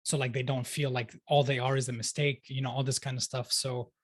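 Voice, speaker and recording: A man's voice: medium pitch, flat delivery, brisk, breathy edge, no echo. Chatty young man, dry close sound. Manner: chatty, fills talk with "like", laughs easily. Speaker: podcast guest, sociologist and life coach. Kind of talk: casual interview chat.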